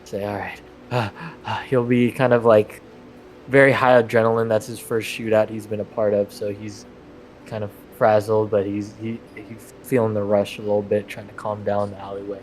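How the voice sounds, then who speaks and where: A man casually narrating his character's moves as a tabletop player, halting as he searches for words. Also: lowish voice, flat delivery, slight background noise, low-quality recording.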